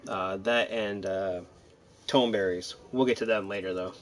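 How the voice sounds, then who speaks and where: A man with a fairly low voice talks casually over his gameplay. He rambles and hesitates in an easygoing manner, feeling his way through each thought. The home recording has some noise, with game ambience underneath. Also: fairly even intonation, a little slow. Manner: rambling, hesitant, laid-back. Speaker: gaming commentator. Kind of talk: casual let's-play commentary.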